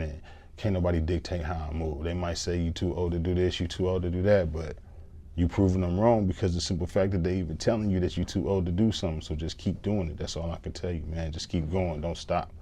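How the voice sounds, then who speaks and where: Deep flat-voiced man giving life advice. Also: fast talker. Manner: rambling, repeats "you know what I'm saying". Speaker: show host reacting to a post. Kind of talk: motivational monologue. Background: music.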